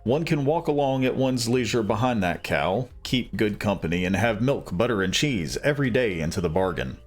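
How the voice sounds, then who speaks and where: A simple, cheerful young servant from an old fairy tale, voiced by a man reading aloud. The voice sits low and easygoing, like a storybook read at bedtime, with faint music underneath. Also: expressive, moderate pace.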